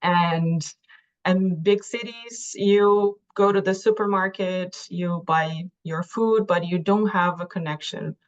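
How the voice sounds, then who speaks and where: A soft-spoken woman from Brazil with a Brazilian Portuguese accent, telling her story as a panelist in halting, careful phrases on a lo-fi online call. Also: lowish voice. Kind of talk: webinar personal story. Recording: dull, lo-fi online call audio.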